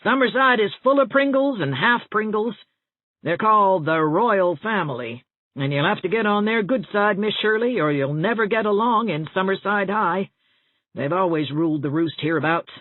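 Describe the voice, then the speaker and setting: A woman's voice: medium pitch, animated, normal speed, phone-quality sound, voice pushed up, close to an everyday voice. A woman voicing a chatty, well-meaning older small-town busybody in an audiobook, with a folksy, rambling, confiding manner. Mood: gossipy, confiding. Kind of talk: audiobook character dialogue. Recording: thin band-limited audio, roomy echo.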